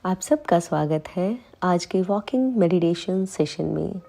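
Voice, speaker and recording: A woman leading a guided meditation, calm and soothing, giving unhurried instructions with long pauses between phrases. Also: lowish voice, vocal fry. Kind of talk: slow guided meditation in Hindi.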